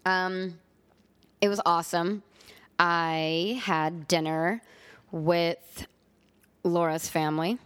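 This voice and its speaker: A woman's voice: fairly low pitch, level tone, takes it slowly, long pauses, fairly bright tone, clear, resonant tone. A young woman with a slight lisp, co-hosting a casual podcast in a dry, self-deprecating way. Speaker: podcast co-host. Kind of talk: casual chat.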